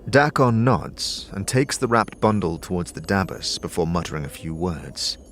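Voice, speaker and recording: A man's voice: deep voice, animated, normal speed, fairly bright tone, slight background noise. A man narrating a scripted fantasy audio drama in the second person, as a game master would. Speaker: narrator and game master, also voicing the lead character. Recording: music under the voice.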